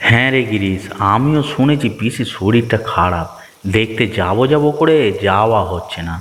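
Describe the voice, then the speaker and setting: A man's voice: lowish voice, animated, normal speed, fairly bright tone, in a large hall. A man voicing a hard-up, hesitant and hospitable village husband in a cartoon. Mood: concerned. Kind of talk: Bengali cartoon voice acting.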